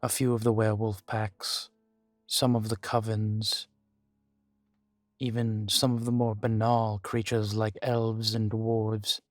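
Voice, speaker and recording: An ancient vampire voiced by a man speaks slowly in a fairly low voice, grave and guarded. His delivery is level and deliberate, weary with long experience, pausing for weight. It is an intimate audio roleplay, recorded dry with music underneath. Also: flat delivery, dry recording. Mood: grave, measured. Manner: measured, deliberate, with dramatic pauses. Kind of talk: scripted audio roleplay addressed to the listener.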